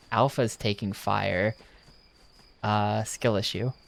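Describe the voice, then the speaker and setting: A young man from the United States chats over his gameplay in a relaxed, casual way. His voice sits low, and his humor is dry and deadpan. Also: drawn-out words, vocal fry. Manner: dry, joking asides, deadpan. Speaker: video game streamer.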